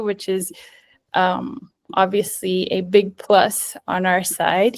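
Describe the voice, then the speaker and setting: Woman host, friendly intro read, easygoing fillers, medium pitch. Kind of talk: introducing guests.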